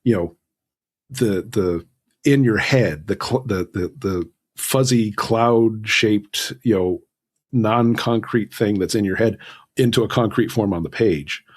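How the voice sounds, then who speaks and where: Casting: male podcast guest, low husky voice, thoughtful conversational delivery with stop-start phrasing. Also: slow pace. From Southern California, United States. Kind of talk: conversational interview advice.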